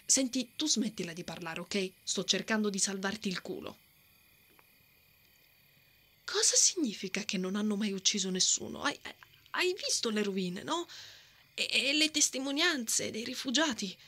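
A woman voicing a brave, hot-tempered female knight with a secret soft heart, in an ASMR roleplay, blustering and easily flustered. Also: medium pitch, big pitch swings, normal speed, full character voice. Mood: indignant, confrontational. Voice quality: breathy, often dropping to a whisper. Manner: tsundere, blustering, flustered stammering.

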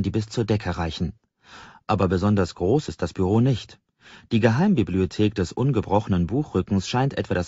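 Low, husky male audiobook narrator. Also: a little mellow. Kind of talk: scripted narration of a novel. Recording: slightly dull, roomy sound.

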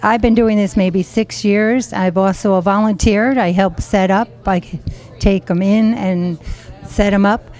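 An elderly American woman with a medium-pitched voice speaks into a handheld mic. She is a volunteer giving a short unscripted interview. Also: steady tone, measured pace, a little mellow. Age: 70s. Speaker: volunteer and amateur photographer. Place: indoor exhibit hall. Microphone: handheld microphone.

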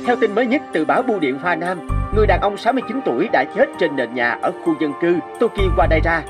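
A man's voice: sits high, animated, fast, music in the background. A man voicing a formal television news reader in a cartoon, delivering a scripted report.

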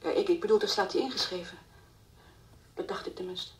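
An actress playing a dazed, grieving young mother in a radio drama, answering haltingly and numbly. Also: on the low side, fairly even intonation, close to an everyday voice. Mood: numb, distraught. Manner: halting, hesitant, clears her throat. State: distraught, numb. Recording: distant mic, faint background noise.

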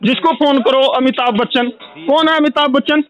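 A man's voice: very high voice, steady tone, normal speed, fairly bright tone. An angry man making a heated complaint as a customer on a phone call, insistent and repetitive. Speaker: complaining customer calling a helpline.

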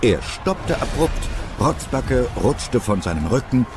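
A man's voice: medium pitch, big pitch swings, slow pace, over music. A man narrating a scripted story as the narrator of an audio drama.

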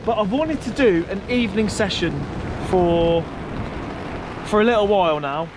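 Male fishing vlogger, 30s, high voice, informal chatty delivery, recorded outdoors with clear rain noise. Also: normal speed. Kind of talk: casual vlog chat to camera. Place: outdoors on a stormy beach under an umbrella. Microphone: camera at arm's length.

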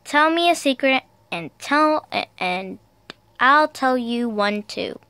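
A woman reading picture books aloud for toddlers in a sing-song, deliberate, child-directed way. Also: high voice, expressive, sharp tone, clear, resonant tone, dry recording. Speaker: storyteller, video host.

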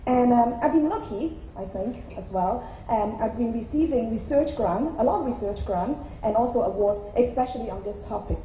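A woman's voice: fairly high pitch, animated, normal speed, hardly any pauses, slight background noise. Woman keynote speaker in a roomy hall. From Ireland. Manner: conversational, frequent "okay?" check-ins. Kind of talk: conference keynote. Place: large hotel conference hall. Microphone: handheld mic. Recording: roomy hall sound, slightly dull.

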